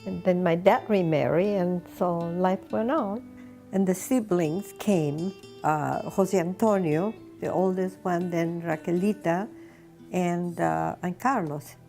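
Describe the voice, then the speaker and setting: Slow-speaking elderly woman. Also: lowish voice, vocal fry, warm tone, soft background music. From Lima, Peru. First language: Spanish. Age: 80s. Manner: unhurried, pausing between short phrases. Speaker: interviewee recounting personal history. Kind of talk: reminiscing oral history interview.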